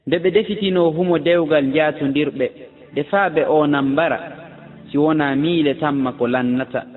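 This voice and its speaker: A man with a fairly high voice recites religious verse in a chanted style. The recording is dull and lo-fi, with a long hall reverb around the voice.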